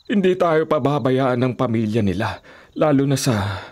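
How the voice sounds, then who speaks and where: An actor plays a stubborn older father in a radio drama, used to giving orders. His voice sits at a middle pitch, and his manner is bossy and commanding. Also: expressive, normal speed, darkish tone, close to an everyday voice. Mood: bossy, persuasive. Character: stubborn, hard-of-hearing politician father, bossy older man. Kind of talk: Filipino radio drama acting.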